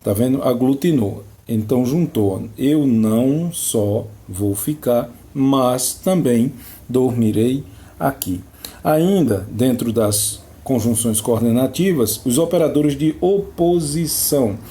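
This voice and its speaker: Guy teaching grammar real slow, soft mellow voice, keeps checking in with the class. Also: mid-range voice. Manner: slow, explanatory, repeats examples with check-ins. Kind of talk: screen-recorded grammar lesson. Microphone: phone or computer mic.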